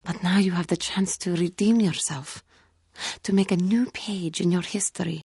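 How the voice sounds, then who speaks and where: A female cat-like alien warrior from a video game, proud yet haunted by guilt. The voice sits low with a gravelly creak and a husky grain. The delivery is formal, earnest and halting. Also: darkish tone, no echo, studio quality. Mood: shy, apologetic. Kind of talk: scripted video game character dialogue.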